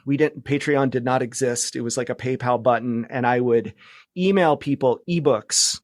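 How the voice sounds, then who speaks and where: Male, middle-aged, mid-pitched voice, close dry sound. Informal podcast guest who talks in loose, winding thoughts. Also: moderate pace, no echo. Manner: informal, discursive. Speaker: interview guest, podcast producer. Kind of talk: casual conversational interview.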